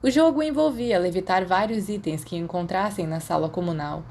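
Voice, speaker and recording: Woman, Brazilian accent, lower-pitched voice, scripted fiction narration, audiobook reading. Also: moderate pace, darkish tone.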